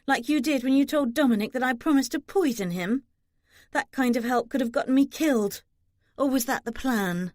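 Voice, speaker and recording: A woman's voice: high voice, normal speed, darkish tone, dry recording, voice pushed up, lightly acted. A woman narrator plays a young noblewoman who stands her ground. She speaks sharply and indignantly, throwing out challenges with open scorn, in a storybook reading with a close, dry sound. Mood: accusing. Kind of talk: audiobook character dialogue.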